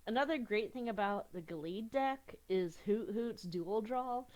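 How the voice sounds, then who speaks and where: A woman's voice: mid-range voice, lively intonation, unhurried, a little mellow. A young woman with a cold, sniffling a little as she chats casually through an online card game, giggling and restarting her sentences. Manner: chatty, giggly, restarts often. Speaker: gaming youtuber.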